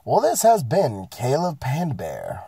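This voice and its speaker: A man's voice: medium pitch, huge pitch swings, slow pace, vocal fry, warm tone, slightly rough, dry recording, studio quality. A man, getting sick, ranting casually and profanely about his work week from inside his parked car. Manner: profane, rambling, self-mocking. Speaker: vlogger. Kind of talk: casual rant to camera.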